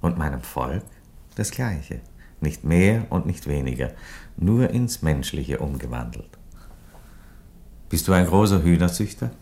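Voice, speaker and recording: A Roman emperor in a radio drama, his voice extremely deep. He reasons calmly and philosophically, with dry irony and polite, measured phrasing. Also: full character voice. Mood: calm reasoning. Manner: measured, philosophical, drily courteous. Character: calm, ironic, reasonable Roman emperor who loves chickens. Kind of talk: theatrical radio play dialogue.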